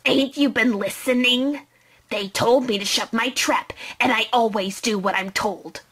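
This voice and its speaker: High-pitched cranky old lady, ranting. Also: measured pace, breathy edge. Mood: defensive. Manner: ranting, indignant, stammering. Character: cranky, combative, long-winded old woman witness. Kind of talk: amateur anime game fan dub. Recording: loud music behind the voice.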